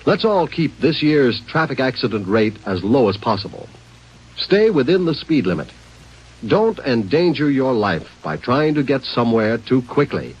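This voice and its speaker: Husky, animated American radio announcer man. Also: mid-range voice, normal speed. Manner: earnest, emphatic. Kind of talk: scripted 1950s radio safety announcement. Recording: muffled vintage radio recording.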